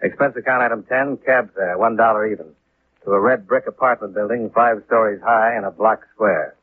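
Through the thin band of a 1950s radio broadcast comes a world-weary American investigator. He is clipped and deadpan, with a slightly rough edge to the voice. The delivery is hardboiled detective drama. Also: lowish voice, a little fast. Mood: dry, businesslike. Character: terse, world-weary male insurance investigator. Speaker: lead radio actor and narrator. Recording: muffled, narrow-band vintage 1950s radio.

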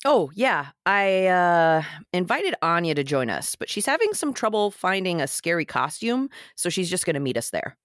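A woman's voice: fairly low pitch, big pitch swings, normal speed, hardly any pauses, fairly bright tone, no room sound, studio quality. A woman reading the part of a jokey, insecure young man who covers his fear with wisecracks, in a podcast script table read. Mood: casual, teasing. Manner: wisecracking, defensive.

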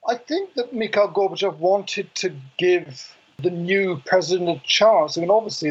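Over a muffled phone line, a man gives live analysis as a correspondent. His voice is high for a man and rather raspy. He speaks haltingly, feeling his way through the explanation. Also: a little mellow. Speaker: foreign correspondent. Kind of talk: live unscripted news analysis.

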